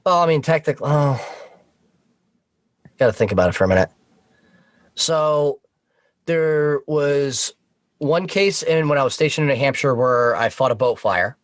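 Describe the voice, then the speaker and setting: A man's voice: on the high side, lively intonation, moderate pace, pauses often, no echo. A man chatting informally as a podcast guest, telling work stories and swearing casually. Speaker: podcast guest, Coast Guard boat operator.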